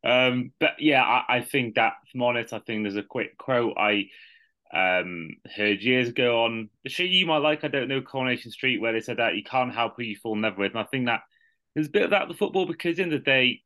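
Casting note: host in his twenties, forceful tense tone, loose rambling talk. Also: mid-range voice, expressive, a little fast. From Wales. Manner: rambling, many restarts. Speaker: podcast host. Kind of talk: casual chat.

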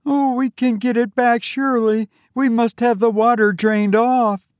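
A man's voice: very high-pitched, flat delivery, measured pace, smooth, no room sound, studio quality, voice pushed up. A man voicing a young woman in an audiobook, girlish and emotional, tender and easily startled. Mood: distressed. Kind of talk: audiobook character voice.

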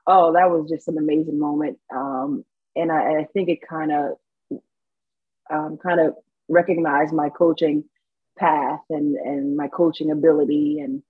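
A woman from Saint Lucia talks casually in an interview, her New York accent carrying a light Caribbean lilt. Her voice is a little husky, and she laughs and restarts sentences often. The recording sounds dry and slightly dull. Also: sits low, fairly even intonation, measured pace, dry recording. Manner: chatty, laughs often, many restarts and fillers. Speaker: basketball coach.

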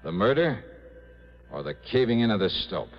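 A skeptical private investigator, probes in terse questions with a low, lively voice. It is old radio drama with a slightly muffled sound. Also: male voice, normal speed, faint music behind. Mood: suspicious. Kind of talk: 1950s-60s radio drama acting. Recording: muffled vintage radio recording.

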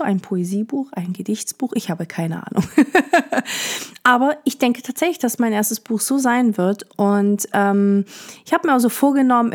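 A young woman from Portugal hosting a podcast, talking in a reflective, gentle way with many fillers. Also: medium pitch. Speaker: podcast host. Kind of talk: casual personal monologue.

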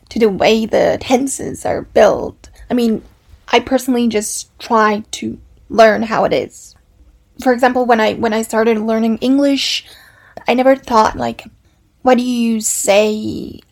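A woman hosts her own podcast and explains at an unhurried pace. Her voice sits a little high and has a gravelly edge. She talks thoughtfully, with restarts and soft laughs. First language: Serbian. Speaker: podcast host. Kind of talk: solo educational podcast.

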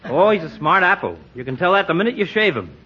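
An actor playing a gossipy know-it-all small-town barber in a 1940s radio comedy, folksy and confiding. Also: male voice, high-pitched, big pitch swings, fast, sharp tone, husky, heavily acted. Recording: dull, band-limited 1940s radio recording.

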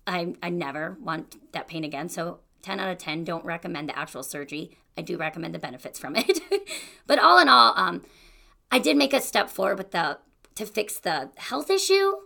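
A woman's voice: on the low side, sing-song, moderate pace, creaky, bright, forceful tone. A young woman hosting a solo podcast, talking in a chatty, encouraging way about goals and excuses. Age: young adult. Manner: chatty and encouraging, with fillers like "you know" and "like". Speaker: podcast host. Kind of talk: casual solo podcast monologue.

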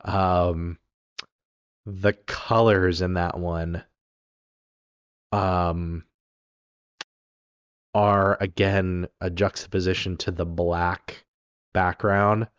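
A man with a very deep voice, rough and raspy from a cold, talks through a film discussion in a loose, rambling way. The sound is dry and a little muffled, with no room around it. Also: level tone, very slow, long pauses, no room sound. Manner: rambling, many ums and restarts. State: raspy from being sick. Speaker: podcast co-host.

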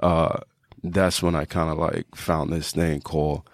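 Deep voiced guy from trinidad, fitness coach on a podcast, casual and reflective, dry close sound. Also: level tone, a little slow, no echo. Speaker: strength and conditioning coach, podcast guest. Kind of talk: casual personal storytelling.

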